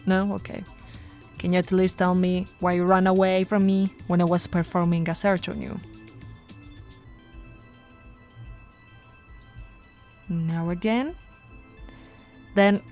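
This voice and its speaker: A woman voicing a flirty, no-nonsense female police officer in an ASMR roleplay, switching between firm orders and soft, teasing coaxing. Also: fairly low pitch, close to an everyday voice. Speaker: voice actress.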